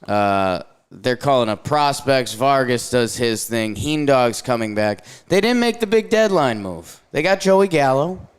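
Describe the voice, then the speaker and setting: A young man co-hosting a casual sports show, joking and swinging his voice up and down as he recaps games. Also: smooth. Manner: jokey, animated, quick swings between high and low voice. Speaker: podcast co-host.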